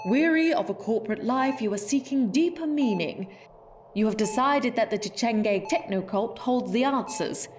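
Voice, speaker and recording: An actress playing the brisk, unflappable chief of a space spy bureau, formal and dryly authoritative, in a sci-fi audio drama. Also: female voice, a little high, expressive, soft background music, natural voice. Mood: businesslike.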